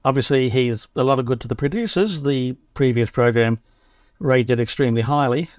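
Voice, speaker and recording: A man's voice: mid-range voice, brisk, darkish tone, dry recording, clean studio sound. A man reading a magazine article aloud in a wry, opinionated way.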